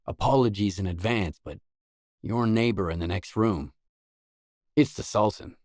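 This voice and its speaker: An actor playing a weary, laconic stalker in a video game, a hardened loner with a terse, guarded, deadpan delivery. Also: male voice, lowish voice, measured pace, no room sound, studio quality, natural voice. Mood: dry joke-telling. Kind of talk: isolated game dialogue lines.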